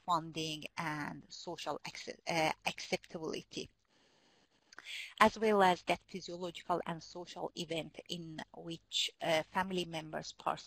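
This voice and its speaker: Woman, iranian accent with turkish tinge, gravelly low voice, dry close sound, halting webinar talk. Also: fairly bright tone, dry recording, low-quality recording. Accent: Iranian, Turkish-speaking northwest. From northwest Iran. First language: Azerbaijani Turkish. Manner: careful, halting, many fillers. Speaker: conference presenter. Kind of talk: webinar presentation. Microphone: computer mic in a web meeting.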